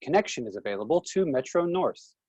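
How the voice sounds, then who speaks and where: Subway announcer acted by a stage reader, husky and animated, dry close sound, calling stops. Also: mid-range voice, quick pace, no room sound. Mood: announcing. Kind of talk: transit announcement in play reading.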